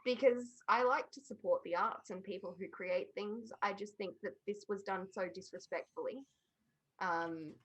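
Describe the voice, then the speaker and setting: A woman's voice: medium pitch, normal speed, breathy edge, low-quality recording. A woman on a podcast panel giving blunt, emphatic opinions in casual conversation.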